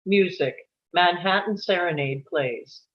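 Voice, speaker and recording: A woman's voice: fairly low pitch, unhurried, muffled recording. A woman reading stage directions as scripted narration at a table read.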